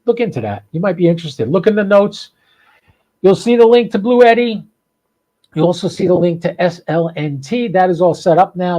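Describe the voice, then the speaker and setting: American male podcast host, fairly high pitch, relaxed dark tone, folksy off-the-cuff chat. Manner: folksy, rambling, informal. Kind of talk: casual unscripted livestream chat.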